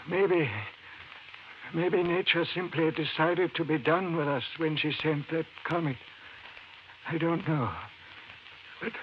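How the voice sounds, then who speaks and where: Muffled vintage radio sound carries a failing old male professor. He is earnest and urgent, speaking in slow, halting phrases. His voice sits a little high and sounds frail, as if his strength is nearly gone. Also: a little hiss or hum. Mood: weak, urgent. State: weak, failing. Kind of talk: old-time radio drama acting.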